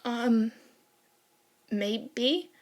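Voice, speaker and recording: A timid, kindly schoolboy from an audio fan story, voiced by a woman. He talks slowly and hesitantly, softly apologetic. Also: a little high. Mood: shy. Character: shy, gentle, hesitant teenage boy student.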